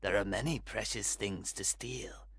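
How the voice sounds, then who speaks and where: A man voicing a sly old male thief master who talks in a raspy, hushed, conspiratorial way. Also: lowish voice, unhurried, no room sound, studio quality, heavily acted. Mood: scheming. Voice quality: raspy, whispery.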